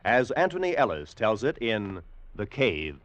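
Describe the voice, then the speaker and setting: A man's voice: on the low side, big pitch swings, measured pace, a bit bright, dry recording. A man announcing a 1940s radio show in a formal, scripted style. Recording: muffled old-time radio recording. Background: music.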